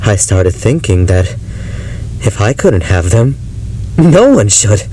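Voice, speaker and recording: An actor playing an unhinged, obsessive ex-lover confessing darkly in an audio drama monologue, halting and brooding. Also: male voice, on the low side, big pitch swings, warm tone, slight background noise, poor audio. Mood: bitter, obsessive. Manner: halting, brooding, breaking into unhinged laughter and intensity.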